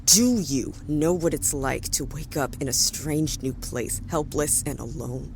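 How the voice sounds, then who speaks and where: A woman's voice: sits low, a little slow, vocal fry, a little mellow. A woman playing a bitter, threatening space-fleet officer in an audio drama, with a sarcastic, commanding manner. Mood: bitter menace. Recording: faint background noise.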